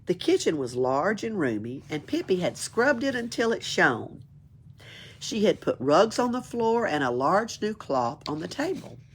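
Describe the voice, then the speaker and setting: A woman reads a children's book aloud in an expressive storytelling way. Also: low voice, a little hiss or hum. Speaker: library storyteller.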